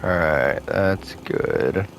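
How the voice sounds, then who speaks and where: A man giving casual gameplay commentary, thinking aloud to himself as he plays, his voice slightly hoarse. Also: a bass voice, drawn-out words, a little hiss or hum. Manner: relaxed thinking aloud, muttering to himself while playing. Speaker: gaming host playing a renovation game.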